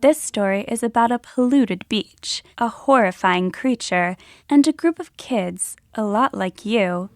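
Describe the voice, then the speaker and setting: A woman's voice: medium pitch, expressive, a little slow, hardly any pauses, darkish tone, lightly acted. A woman playing a creepy young female monster who hosts scripted horror stories in a theatrical, menacing manner. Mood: eerie, gleeful. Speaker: podcast host.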